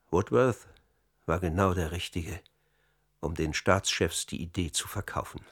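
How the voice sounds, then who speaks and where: Low-voiced man, a grave conspiracy insider. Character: grave male insider recounting a secret European conspiracy. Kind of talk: radio drama monologue.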